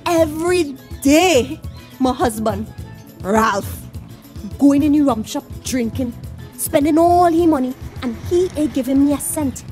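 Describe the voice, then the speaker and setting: A woman's voice: high voice, animated, a little slow, a little mellow, soft background music. A woman acting a small, harassed, weary mother and neighbour in a radio play, plaintive and confiding, with a Trinidadian accent. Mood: fed up.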